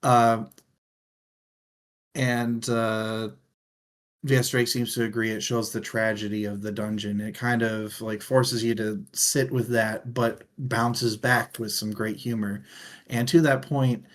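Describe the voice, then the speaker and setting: A man's voice: medium pitch, flat delivery, takes it slowly. A man co-hosting a book discussion show, chatting casually and enthusiastically, with the odd swear word. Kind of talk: casual book chat, reading out listener comments.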